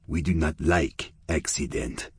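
A man voicing a menacing Russian gangster in broken English with a Russian accent, slow and measured. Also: extremely low pitch, little pitch movement, breathy edge, no room sound, studio quality, exaggerated voice. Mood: suspicious.

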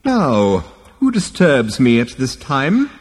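A man voicing an ancient, scornful ghostly alien great-grandfather from a comic science fiction story, screechy and rasping, snappish and pompous. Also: medium pitch, huge pitch swings, in a large hall, voice pushed up, full character voice. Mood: snapping scorn. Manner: snappish, drawn-out, withering, pompous.

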